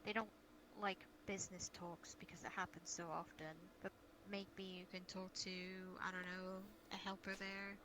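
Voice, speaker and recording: A woman's voice: medium pitch, flat delivery, normal speed, close to an everyday voice. A woman playing a caring, cautious guild leader in an improvised fantasy role-play, speaking earnestly and hesitantly over online voice chat. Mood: uneasy. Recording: noisy online voice-chat audio.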